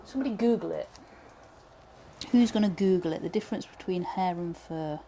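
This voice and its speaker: A woman from Britain, teaching pyrography live, chatting and thinking aloud with many fillers while she works, her voice going a little hoarse. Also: fairly low pitch, fairly quick, mellow, a little hiss or hum. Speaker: pyrography instructor. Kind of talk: live tutorial chat while working.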